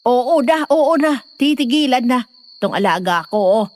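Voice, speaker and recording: A woman's voice: sits high, lively intonation, moderate pace, darkish tone. Woman playing nosy yaya type, middle aged, teasing, voice jumps all over, audio drama. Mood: teasing, gossipy. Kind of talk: Filipino audio horror drama acting.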